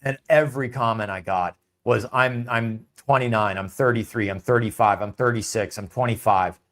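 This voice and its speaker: A man's voice: lowish voice, normal speed, fairly bright tone. A man ranting in his own online video, mocking and piling up exaggerated warnings of doom. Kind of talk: ranting monologue.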